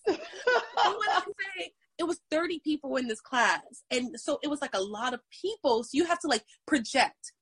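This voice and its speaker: A woman fitness instructor telling a story in casual chat, animated and laughing often. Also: sits high, normal speed, no echo.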